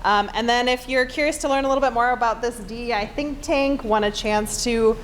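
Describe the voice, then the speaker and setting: A woman's voice: a little high, brisk. A woman facilitating a conference workshop session, upbeat and encouraging as she moves things along on a handheld mic. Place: conference room. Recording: echoey conference hall, audible room noise.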